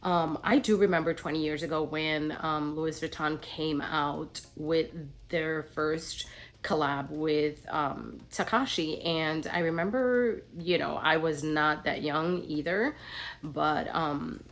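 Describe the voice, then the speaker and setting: Middle-aged woman vlogger, low voice, nasal from a cold, chatty and meandering. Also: steady tone, slow. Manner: rambling, many ums and restarts. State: nasal from a recent flu. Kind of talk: casual chat to camera.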